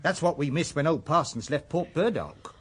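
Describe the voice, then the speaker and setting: A man's voice: fairly high pitch, lively intonation, moderate pace, slightly rough. An actor with an English accent playing a whimsical, talkative Edwardian shopman in a radio drama, playfully florid with invented long words. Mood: jovial.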